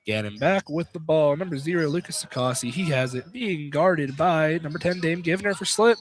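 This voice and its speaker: A man's voice: a little high, normal speed. A man doing live basketball play-by-play on the radio in short, clipped phrases. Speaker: play-by-play commentator. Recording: slight background noise.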